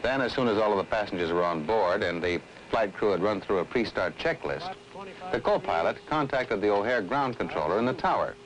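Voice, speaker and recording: Male actor as patient aviation explainer, low and animated, vintage instructional film with faint noise. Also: a little fast, lightly acted, rough recording. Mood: patient, explanatory. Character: patient airline man explaining air traffic control. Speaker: aviation professional explaining a flight to a passenger. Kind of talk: scripted explanatory narration in an educational film. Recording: old film soundtrack, faint background noise.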